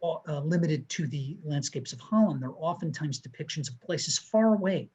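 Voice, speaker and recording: A man in his thirties co-hosting a museum talk over a video call, walking viewers through artworks in a measured, descriptive way. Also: fairly high pitch, animated, a little mellow, breathy edge. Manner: measured, descriptive, pointing out details. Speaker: museum co-host presenting artworks. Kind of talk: art history talk over slides. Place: room with bookshelves.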